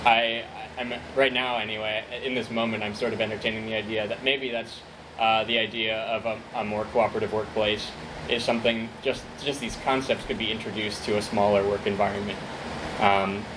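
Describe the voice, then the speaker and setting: A man's voice: on the low side, flat delivery, fairly quick, noisy background. A young man speaking at a conference, answering an audience question hesitantly, with many fillers and restarts.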